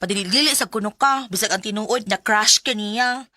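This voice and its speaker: A woman's voice: medium pitch, normal speed, bright, forceful tone, dry recording, soft background music, lightly acted. A woman playing a gossipy, teasing but supportive young best friend in a sentimental radio drama, with a Filipino Bisaya accent.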